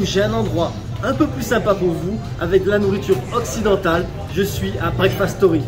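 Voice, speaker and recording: A man in his thirties vlogging his travels, chatting playfully to viewers from the street and cafés with the camera at arm's length. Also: high-pitched. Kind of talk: travel vlog narration.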